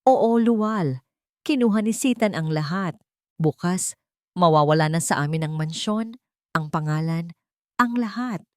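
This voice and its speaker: A woman's voice: fairly low pitch, lively intonation, a little slow, pauses often, smooth, dry recording. A defeated husband, voiced by a female storyteller, sounds ashamed and resigned. The recording is dry, in the manner of a romantic audio drama. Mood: dejected.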